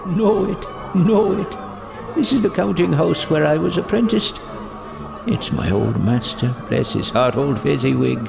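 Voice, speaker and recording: An actor playing an elderly miser, shaken and remorseful, with a gravelly, halting voice in an audio drama. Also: male voice, a little high, animated, full character voice. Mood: delighted. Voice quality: gravelly, creaky. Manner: halting, trembling, regretful. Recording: music behind the voice.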